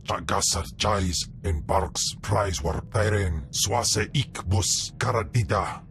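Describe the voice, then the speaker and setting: Slow, deep alien warrior. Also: male voice, fairly bright tone, a little hiss or hum, full character voice. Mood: solemn. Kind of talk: video game voice acting.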